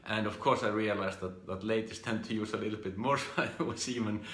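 A middle-aged man from Iceland with an Icelandic accent gives an informal live talk about his own past, speaking haltingly with repeated words and restarts. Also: fairly low pitch, fairly even intonation, fairly bright tone, echoey room. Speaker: speaker giving a business training talk.

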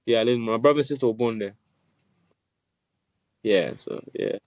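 A man's voice: mid-range voice, moderate pace, no room sound. A man from Nigeria, a musician guest, talking laid-back and laughing often. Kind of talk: casual interview chat.

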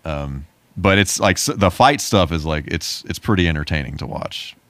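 A man with a low voice talks casually as a podcast co-host. His delivery is easygoing and laid-back, recorded close and dry with very little room sound. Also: expressive, moderate pace, a bit bright, dry recording. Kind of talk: casual chat about movies.

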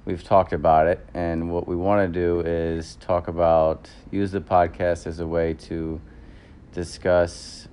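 Man in his thirties, very deep voice. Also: little pitch movement, unhurried, warm tone, clean tone. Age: 30s. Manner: rambling, self-correcting, many fillers. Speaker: podcast co-host. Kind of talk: casual couple chat.

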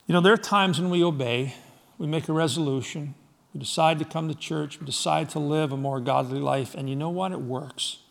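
Man, middle-aged, from Scotland, high-pitched, sermon delivery, rhetorical questions, loud-to-soft swings. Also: fairly quick, vocal fry. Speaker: pastor.

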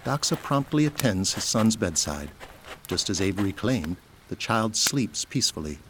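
A man's voice: on the low side, big pitch swings, moderate pace, background noise. A man delivering scripted, present-tense narration for an audio drama. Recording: music behind the voice.

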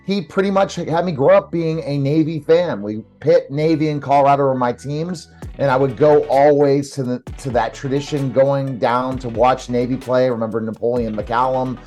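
A man's voice: mid-range voice, steady tone, moderate pace, hardly any pauses, a little mellow, soft background music. A man hosting a conversational sports radio interview, asking rambling questions that pile up as he goes. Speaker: interview show host.

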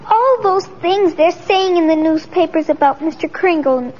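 Tender-hearted small girl for vintage radio drama; very high, earnest, dated dull sound. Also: moderate pace, darkish tone. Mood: earnest, upset. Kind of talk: 1940s radio acting. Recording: dull old radio recording.

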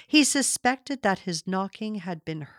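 A woman reads scripted literary fiction in a measured, deliberate way. Her phrasing is expressive, with a mid-range pitch. The sound is dry, with very little room around the voice. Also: no echo. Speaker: audiobook reader.

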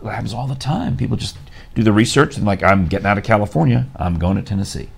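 A man hosting a morning show, with a low, gravelly voice. He talks in loose, jokey banter and laughs often. Faint room ambience sits behind him. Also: fast talker, slight background noise. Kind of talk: casual studio banter.

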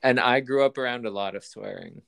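A man's voice: medium pitch, fairly quick, vocal fry, a bit bright, smooth. A man hosting a podcast in casual chat, rambling and often restarting his sentences. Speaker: podcast host.

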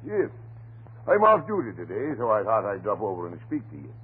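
A folksy, blustering desk sergeant from a vintage radio play, talkative and stubborn. His delivery swings widely in pitch. The old recording is narrow and tinny, with some hiss. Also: huge pitch swings, a little fast. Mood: chatty, folksy. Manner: blustering, garrulous, folksy turns of phrase. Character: folksy, chatty, stubborn off-duty police desk sergeant neighbor. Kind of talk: old-time radio drama acting.

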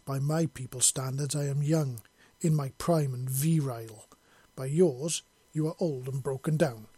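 A proud, menacing alien warrior woman who speaks slowly and evenly, as a male narrator voices her. The tone is dark and mellow, close and dry. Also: mid-range voice, slightly rough, no echo. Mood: snarling. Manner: slow, measured, matter-of-fact.

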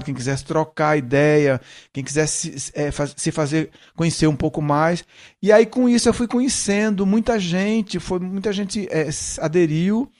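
Middle-aged Northeastern Brazilian man, slightly high voice. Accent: Northeastern Brazilian, softened by São Paulo. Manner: searching, repeats words as he explains. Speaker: guest, musician. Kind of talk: casual interview conversation.

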